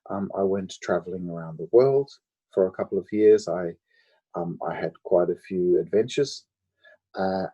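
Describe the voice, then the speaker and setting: Low mellow-voiced Australian-born man, husky. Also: highs cut off. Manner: thoughtful, many ums and pauses. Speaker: guest architect. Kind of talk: interview, answering questions.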